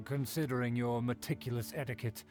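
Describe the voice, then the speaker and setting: A man's voice: mid-range voice, steady tone, fairly quick, a little mellow, close to an everyday voice. A man voicing a vain, pompous older aristocrat in a video game, speaking in grandiloquent phrases. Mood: grandly flattered.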